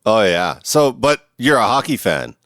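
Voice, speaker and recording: A man's voice: on the low side, sing-song, a little slow, a bit bright, no room sound, studio quality. Easygoing man hosting. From Vancouver, Canada. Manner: easygoing, swears, laughs often. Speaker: podcast host. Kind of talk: casual interview chat.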